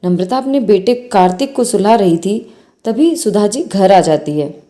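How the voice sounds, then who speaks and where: A woman's voice: medium pitch, lively intonation, a little slow, warm tone. A woman storyteller reading a scripted bedtime moral story. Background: faint music.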